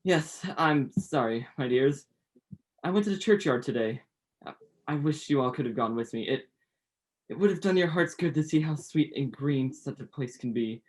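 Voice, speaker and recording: Student playing gentle, grieving father. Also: medium pitch, animated, close to an everyday voice. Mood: subdued grief. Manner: halting, tender. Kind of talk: radio play acting.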